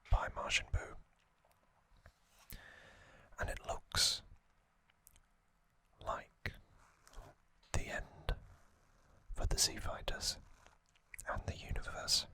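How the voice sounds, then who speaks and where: A man whispering breathily as an ASMR host, telling a story in hushed, short phrases with pauses between them. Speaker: host of an ASMR trivia channel.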